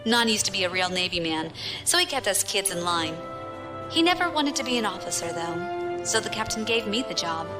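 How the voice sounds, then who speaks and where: A woman's voice: mid-range voice, big pitch swings, brisk, music in the background, close to an everyday voice. An actress playing a battle-hardened, proud young female pirate captain with a wry storytelling manner in a science fiction audio drama. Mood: wry, nostalgic.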